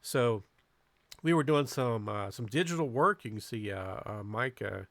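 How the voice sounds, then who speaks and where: A man's voice: medium pitch, animated, measured pace, fairly bright tone, no room sound. Folksy man narrating in a dry close recording. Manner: folksy, chatty. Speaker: amateur radio club video host. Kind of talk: casual narration of a club event video.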